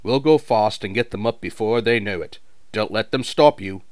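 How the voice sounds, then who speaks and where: A practical engine driver gives plain, brisk warnings, as a man puts him on. The storybook reading sounds close and dry. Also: on the low side, a little mellow, no room sound, natural voice.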